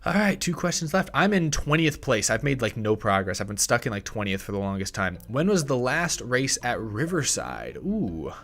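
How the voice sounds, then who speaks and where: A young man in his twenties hosting a casual livestream, thinking aloud and correcting himself, a bit hoarse, on a close studio mic in a small room. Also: medium pitch, sing-song, moderate pace, few pauses, fairly bright tone. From United States. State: slightly hoarse, tickle in throat. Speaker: livestream host. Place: small home streaming room. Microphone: headset and studio mic on arm.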